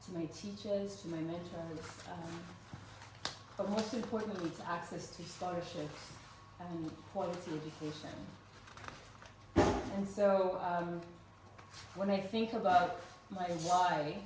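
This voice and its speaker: A woman in her forties from Uganda speaks earnestly to a gathered audience, pausing often on "um". Her voice sits fairly low and reaches the mic from a distance. The room throws back a strong echo, with faint noise underneath. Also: steady tone. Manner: earnest and warm, with frequent "um" fillers. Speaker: speaker at a fundraising event. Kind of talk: personal story told to a live audience. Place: event room with a live audience.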